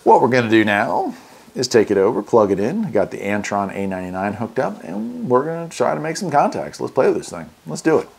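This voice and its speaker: A man's voice: mid-range voice, big pitch swings, a little fast, gravelly, slightly rough. An American man hosting a casual product unboxing and review, talking in a folksy, enthusiastic way. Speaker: radio review host.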